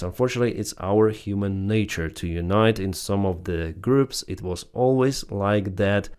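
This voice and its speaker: Blunt Ukrainian-accented man in his forties, lowish voice, solo commentator talking straight to viewers. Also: darkish tone, clean tone. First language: Russian. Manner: blunt, plain-spoken, addresses viewers as guys. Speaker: military commentator.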